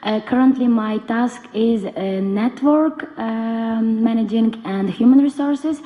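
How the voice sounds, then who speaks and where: A young woman with a Ukrainian accent gives a semi-prepared talk as a conference panelist, halting as she searches for words. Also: fairly high pitch, mellow, muffled recording. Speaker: conference panelist, NGO board member. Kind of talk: semi-prepared public speech. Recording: reverberant conference hall.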